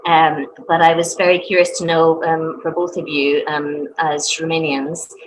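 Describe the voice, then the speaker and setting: A woman's voice: sits low, flat delivery, normal speed. A woman volunteering with a charity, politely asking questions in an online discussion, with many "um" fillers. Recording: noisy background.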